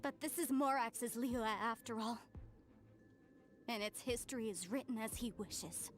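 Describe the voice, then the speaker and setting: An earnest young woman from a game, voiced by an actress, formal and impassioned. Her voice sits high. Faint game music runs underneath. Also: a little slow, lightly acted. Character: earnest young woman, salt-trade descendant. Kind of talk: game voice acting.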